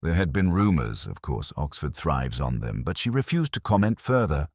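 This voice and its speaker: A man reading a scripted first-person detective story in a measured, literary, wry manner. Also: rumbling low, very animated, a little mellow, husky, no echo, studio quality. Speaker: narrator.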